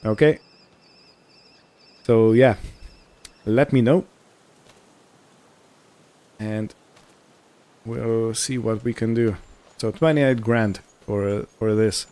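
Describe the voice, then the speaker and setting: Slow-talking, mellow man, low-voiced. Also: clear, resonant tone. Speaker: host of a roleplay gaming series. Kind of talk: in-character gameplay narration, casual and improvised.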